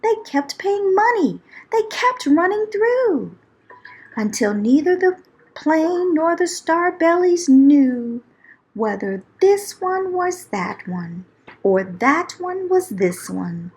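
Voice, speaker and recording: Female children's narrator: theatrical rhyming read-aloud, unhurried delivery with wide, lively pitch. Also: low-quality recording. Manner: theatrical, expressive storytelling. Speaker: storybook narrator.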